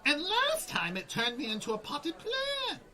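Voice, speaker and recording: Flighty little gnome wizard for a comedy radio play, super high and all over the place, nervous, rambly. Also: female voice, huge pitch swings, unhurried, pushed and bright, exaggerated voice. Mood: wavering, musing. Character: scatterbrained, anxious gnome sorcerer, fun-loving drinker.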